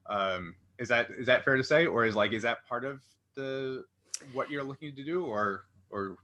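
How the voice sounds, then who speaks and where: A man hosting a casual interview, putting his questions in a halting, roundabout way. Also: mid-range voice, pushed and bright. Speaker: interview host.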